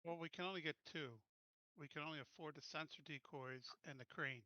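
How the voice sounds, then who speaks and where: Bright, higher-voiced man, close and dull-miked. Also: a little fast, no echo. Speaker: player. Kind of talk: casual game chat.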